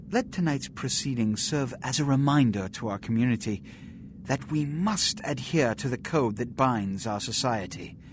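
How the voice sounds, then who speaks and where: An actor playing a pompous, authoritative vampire prince in a video game, speaking in a formal, oratorical and measured manner. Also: male voice, medium pitch, a little hiss or hum, lightly acted. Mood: grave. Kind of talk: theatrical video game acting.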